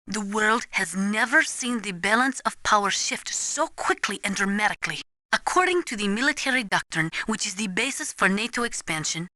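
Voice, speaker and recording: A resolute female Ukrainian nuclear analyst for a video game. Her voice is crisp-edged and forceful at a medium pitch. She lectures with grave, deliberate earnestness in a dry studio sound. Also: no room sound. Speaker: military advisor briefing a soldier. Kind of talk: dramatic video game voice acting.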